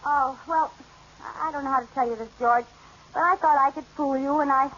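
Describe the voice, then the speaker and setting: An actress playing a scatterbrained young housewife in a 1940s radio sitcom, flustered and cheerfully muddled, on a hissy, dull old broadcast recording. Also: female voice, sits high, steady tone, fairly quick, fairly bright tone. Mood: pleading.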